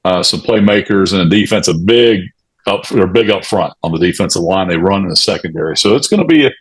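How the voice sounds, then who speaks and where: A man on a sports talk show, giving unscripted analysis in a halting, conversational way. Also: low voice, expressive, slightly rough, rough recording. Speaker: sports radio show panelist.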